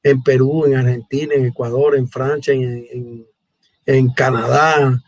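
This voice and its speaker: Venezuelan man, deliberate and halting interviewer. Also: mid-range voice, little pitch movement, no echo. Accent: Venezuelan Spanish. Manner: halting, deliberate phrasing with repeated words. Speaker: host, mathematics educator. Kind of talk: conversational online interview. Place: remote online conversation from Brazil.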